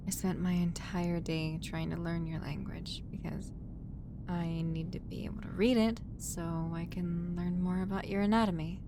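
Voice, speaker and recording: A woman playing a caring human medic on an alien spaceship in a roleplay audio drama, with a gentle, hesitant, fussing manner. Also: fairly even intonation, moderate pace, natural voice. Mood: sleepy, affectionate. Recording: noisy audio with low rumble.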